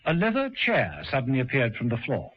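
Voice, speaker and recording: A man narrating a radio drama in a measured storytelling manner. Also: mid-range voice, wildly swooping pitch, slight background noise. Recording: dull lo-fi radio broadcast.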